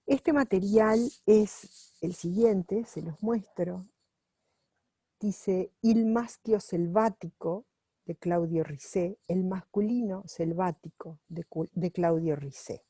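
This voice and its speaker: A woman reads aloud at home in a slow, warm, mellow voice. She translates a book as she goes, so she moves carefully and corrects herself now and then. The sound is close and dry. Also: fairly low pitch, husky, no room sound. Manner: unhurried and careful, with pauses and self-corrections while reading. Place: at home, with her dog nearby.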